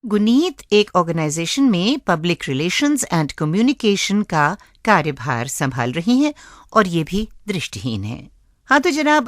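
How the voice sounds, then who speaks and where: Lively Indian woman presenting on radio. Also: medium pitch, no echo, studio quality. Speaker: radio show host. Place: studio.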